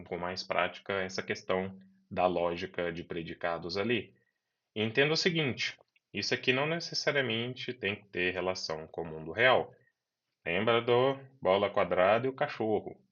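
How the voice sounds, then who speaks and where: A man teaches programming step by step, repeating key terms so students follow. His voice sits somewhat low. The recording is dull and lo-fi. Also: fairly bright tone. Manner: talks students through code step by step, repeating key words. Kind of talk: screen-recorded coding lesson.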